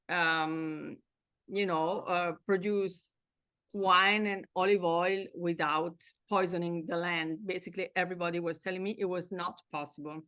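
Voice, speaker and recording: Woman, Italian accent, middle-aged, very slow, halting with fillers, dry close sound. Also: medium pitch, bright, forceful tone, no room sound. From Italy. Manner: hesitant, many fillers and restarts. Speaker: winery founder, interview guest. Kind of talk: casual interview conversation.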